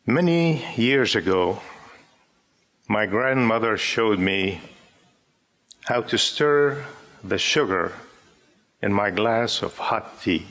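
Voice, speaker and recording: A man in his fifties from Syria, an Arabic speaker, reading his poems aloud to a live audience in a gentle, measured way. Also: on the low side, animated. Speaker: poet. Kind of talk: poetry reading with spoken introductions. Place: live gathering before an audience.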